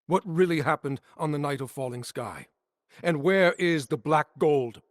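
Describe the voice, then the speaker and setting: A man voices an imploring, reasonable game character. His fairly high voice is earnest, recorded close and dry. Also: expressive, moderate pace, dry recording, lightly acted. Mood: pleading. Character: pleading, reasonable male sect member. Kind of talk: dramatic video game acting.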